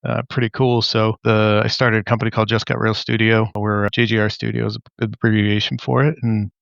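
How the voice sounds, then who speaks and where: A man's voice: lowish voice, flat delivery, fairly quick, gravelly, a bit bright, no echo, muffled recording. A man in his forties, a mechanical engineer, chatting at length on a podcast video call, rambling. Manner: talkative, rambling. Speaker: guest, mechanical engineer and studio founder. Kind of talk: casual podcast chat.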